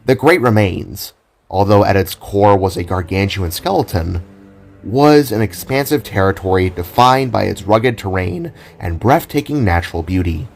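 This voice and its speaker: Low-pitched, animated male story narrator. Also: moderate pace, vocal fry. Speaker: narrator of an audio drama. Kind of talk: scripted fantasy story narration. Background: soft background music.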